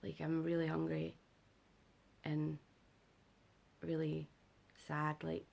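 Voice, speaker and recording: A young British woman talks to camera in a low, deep register, her voice heavily gravelly and rough from illness. She rambles through a casual update full of fillers, sounding worn out and short on sleep. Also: completely flat delivery, slow pace, darkish tone. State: unwell and sleep-deprived. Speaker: vlogger. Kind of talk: casual health update vlog.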